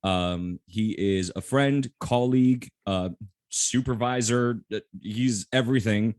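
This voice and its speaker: A man's voice: medium pitch, measured pace, darkish tone, no room sound, studio quality. A man hosting a podcast, joking and rambling in a casual chat. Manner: joking, rambling, laughs often. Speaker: podcast host.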